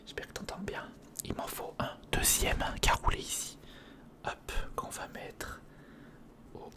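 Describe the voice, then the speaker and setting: An ASMR creator whispering casual step-by-step commentary on a hands-on build, murmuring directly to the listener.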